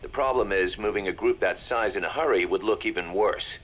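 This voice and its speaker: A man's voice: lowish voice, brisk, fairly bright tone. A man playing a shrewd, hard-nosed mercenary second-in-command, assured and businesslike, in a video game audio drama.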